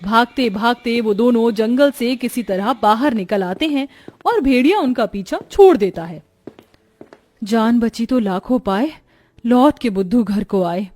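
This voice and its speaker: A woman's voice: on the high side, lively intonation, unhurried, a little mellow. A woman reading scripted narration for a children's moral story.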